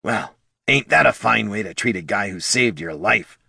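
A man's voice: mid-range voice, big pitch swings, normal speed, creaky, pushed and bright, slightly rough, dry recording, clean studio sound, voice pushed up, full character voice. A man voicing a small, scrappy male kobold who rambles nervously and talks himself in circles. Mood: grumbling.

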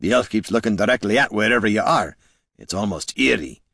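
A gruff fantasy warrior performed by a male narrator. He is wry and grudging, with old-fashioned wording and an animated rise and fall for a storybook. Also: on the low side, fairly quick, no room sound, full character voice. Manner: gruff, dry, archaic "aye" and "ye".

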